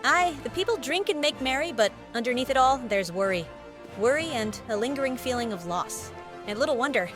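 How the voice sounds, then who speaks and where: An actress playing a brisk, plain-spoken innkeeper with a British accent and a frank, chatty manner in a video game. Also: female voice, a little high, natural voice. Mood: somber. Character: brisk, plain-spoken woman innkeeper running an adventurers' guild. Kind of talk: scripted video game cutscene acting.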